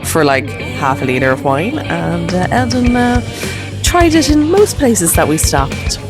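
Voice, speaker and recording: Woman, deep-pitched voice, chatty travel vlogger, casual explanatory narration, guitar music behind. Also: sing-song, unhurried. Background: background music with guitar.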